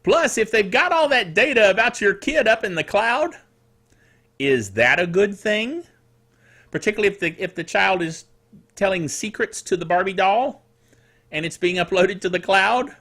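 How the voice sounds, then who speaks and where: A middle-aged man hosting a solo tech news show in a chatty, playful and quirky way, with theatrical asides and a slightly dry, rough throat. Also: high voice, expressive, moderate pace, sharp tone.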